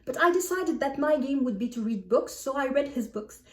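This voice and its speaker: A woman book vlogger chatting casually to camera in an animated, self-deprecating way. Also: high-pitched, normal speed. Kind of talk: casual chat to camera, answering book prompts. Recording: echoey room, distant microphone.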